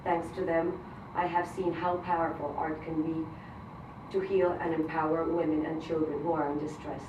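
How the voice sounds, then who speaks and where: A distant, echoey recording of a woman delivering a prepared personal speech. Her voice is low and fairly flat, with an earnest, measured manner. She is a Spanish speaker. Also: highs cut off. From Colombia. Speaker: grant recipient giving a speech. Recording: roomy, distant mic, noisy event hall.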